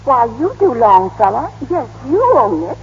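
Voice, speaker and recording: An actress playing a dotty small-town spinster in an old radio comedy, quaint and emphatic, repeating herself. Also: female voice, a little high, very animated, normal speed, darkish tone, breathy edge, exaggerated voice. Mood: earnest. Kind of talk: 1930s radio comedy acting. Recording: muffled old radio broadcast, faint hum.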